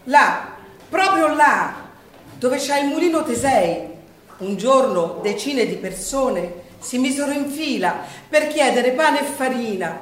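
A woman's voice: high-pitched, expressive, takes it slowly. A woman performing a declamatory theatrical narration. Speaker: stage performer. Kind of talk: theatrical storytelling on the wartime Resistance. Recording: echoey stage, distant mic, faint noise.

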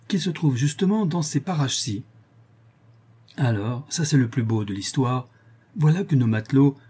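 Storybook sailor, played by a male reader: plain-spoken, eager yarn-spinner, colloquial, mid-pitched, soft-edged tone. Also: slightly rough. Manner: colloquial, exclamatory, repetitive storytelling. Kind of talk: acted audiobook character dialogue.

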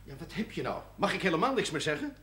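Stern parish priest, animated, rather high voice. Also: male voice, fast. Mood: uneasy. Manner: admonishing, dignified. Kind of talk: television period drama acting. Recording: faintly noisy old television soundtrack.

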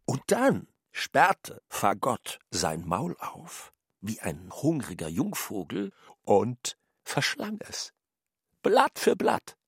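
A husky man giving expressive, theatrical narration in a radio drama, stretching out his phrases. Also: medium pitch, slow, no echo, clean studio sound.

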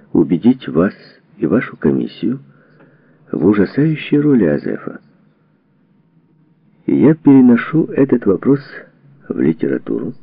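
A man reading a historical book as a scripted narration, with a deliberate, formal, declamatory delivery. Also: medium pitch, no echo. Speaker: audiobook narrator. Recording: narrowband phone-like sound, radio-like.

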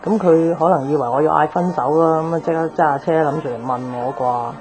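An actress playing a guarded, jaded young tomboyish bar owner in a radio drama, offhand and slangy with a Hong Kong Cantonese accent. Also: female voice, sits low, fairly quick, close to an everyday voice. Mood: rueful, confiding. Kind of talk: naturalistic radio drama acting. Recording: music behind the voice.

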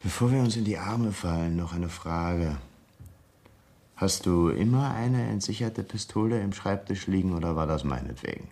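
A man acting in a German radio crime drama as a cool, menacing escaped convict, sardonic and insistent, with a husky voice. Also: low voice, animated, normal speed, warm tone. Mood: coolly sardonic.

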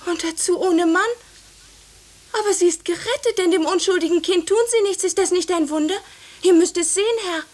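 An actress playing an excitable, self-important teenage servant girl in a 1950s German radio play about a witch hunt, speaking breathlessly. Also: very high-pitched, a little fast. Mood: zealous, proud.

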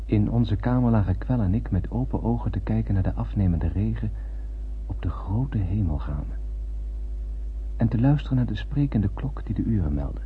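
An actor playing an earnest, reflective spacefarer who narrates a radio drama in a lyrical way. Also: male voice, lowish voice, animated, normal speed, natural voice. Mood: solemn, lyrical. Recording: tinny old radio recording, faint hum.

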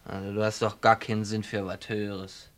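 A man's voice: on the low side, little pitch movement, fairly quick, fairly bright tone, lightly acted. Man playing a cocky small-time crook, slightly noisy recording. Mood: teasing, chummy. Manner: colloquial, needling, chummy. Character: cocky, teasing habitual small-time thief in jail. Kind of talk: German radio play acting.

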